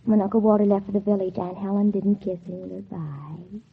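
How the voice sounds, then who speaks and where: A woman's voice: medium pitch, fairly even intonation, a little fast, gravelly, breathy edge. An actress playing a spiteful, scheming teenage girl in a 1940s radio drama, by turns falsely innocent and coldly bitter. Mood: sly narrating. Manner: feigned sweet innocence turning coldly bitter. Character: scheming, spiteful teenage girl narrating her own revenge. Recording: muffled, tinny old 1940s radio recording.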